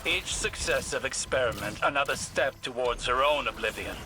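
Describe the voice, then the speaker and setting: A man playing a grave, wise strategist in a video game, speaking in a measured, portentous way. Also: mid-range voice, lightly acted. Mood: ominous. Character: grave, wise male strategist warning of a looming threat. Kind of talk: dramatic game dialogue.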